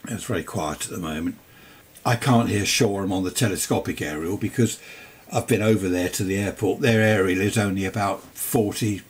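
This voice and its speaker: An older man with a southern English accent, chatting casually through an unscripted demonstration, rambling with little asides and questions to himself. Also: low voice. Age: older, 60s or 70s. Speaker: amateur radio hobbyist presenting a video. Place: cluttered indoor home radio workshop.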